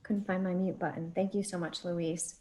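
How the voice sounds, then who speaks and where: A woman's voice: lowish voice, steady tone, moderate pace, mellow. A woman moderating a panel on a video call, encouraging and loosely phrased.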